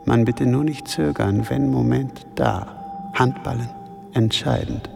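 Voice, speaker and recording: An actor playing a calm, deadly Japanese combat teacher with a Japanese accent, speaking clipped, broken, deliberate phrases in a radio drama. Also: male voice, medium pitch, creaky. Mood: calm, instructive. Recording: music under the voice.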